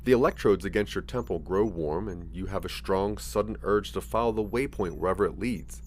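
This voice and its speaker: A man's voice: sits low, a little fast, a little hiss or hum. A man giving a scripted second-person narration for an audio drama.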